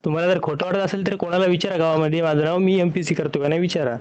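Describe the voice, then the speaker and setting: A man's voice: fairly high pitch, fairly even intonation, very fast, a little mellow. A young man, a caller speaking respectfully but persistently on a muffled phone recording. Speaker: caller, student. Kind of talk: phone conversation.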